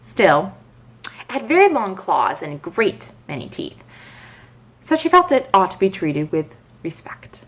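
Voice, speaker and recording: A woman reading a children's bedtime story aloud in an expressive narrating style. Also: medium pitch, unhurried, long pauses.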